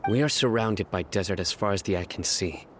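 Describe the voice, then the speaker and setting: Brief: a man voicing a calm, serious game warrior, low and measured. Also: noisy background, close to an everyday voice. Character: stern young male desert warrior, calm and watchful. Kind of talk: game voice acting.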